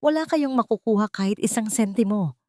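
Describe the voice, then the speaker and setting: A woman voicing a commanding rich businessman in an audio story, firm and deliberate. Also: mid-range voice, animated, dark tone, no echo, clean studio sound, voice pushed up, lightly acted. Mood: cold, stern. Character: cold, commanding wealthy businessman avenging an old woman.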